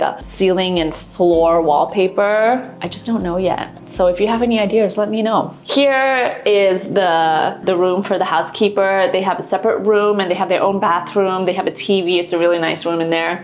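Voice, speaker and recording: A woman vlog host from Singapore giving a casual, chatty house tour indoors, explaining things conversationally to camera. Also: mid-range voice. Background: background music.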